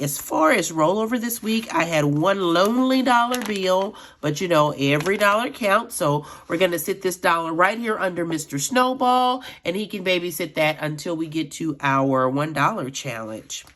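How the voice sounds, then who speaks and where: A middle-aged woman with a lowish voice talks casually to her viewers as friends. She sounds a little raspy from allergies and keeps up a chatty, easygoing patter. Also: lively intonation, moderate pace, hardly any pauses, fairly bright tone, a little hiss or hum. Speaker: budgeting vlogger. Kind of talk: casual chat while counting cash.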